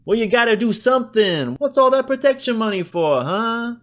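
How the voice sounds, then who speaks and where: A man's voice: extremely high pitch, animated, moderate pace, fairly bright tone, voice pushed up. A man voicing Joe, an irate shopkeeper who whines in a thick city accent. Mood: whiny. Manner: whining, complaining.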